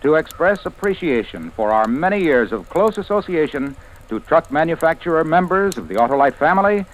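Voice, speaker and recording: A man's voice: a little high, big pitch swings, fairly quick, poor audio. A man working as a radio announcer, reading scripted 1950s advertising with hearty, polished salesmanship.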